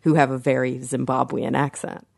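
Casting: chatty woman, neutral American accent, low voice with a lot of fry, dry close mic. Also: level tone, moderate pace, a little mellow, dry recording. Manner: chatty storyteller, laughs often. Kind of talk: casual chat.

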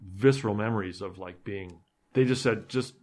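A man whose first language is Ukrainian, chatting casually in an animated, rambling way with many fillers. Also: lowish voice, moderate pace. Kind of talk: casual group discussion.

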